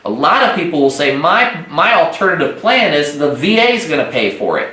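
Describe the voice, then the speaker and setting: Higher-voiced man coaching sales, echoey room. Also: sing-song, brisk, sharp tone. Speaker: sales trainer hosting an agent conference call. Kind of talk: instructional sales coaching talk.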